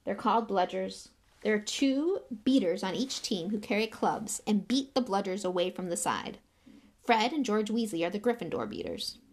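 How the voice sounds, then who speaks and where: A tired schoolboy explaining things patiently and without much enthusiasm, as a woman reader voices him. His voice rises and falls quite a bit, with a gravelly edge to it. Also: medium pitch, very animated, moderate pace. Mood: resigned. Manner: resigned, matter-of-fact explaining. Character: weary reluctant boy, patient explainer. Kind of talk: audiobook character voice.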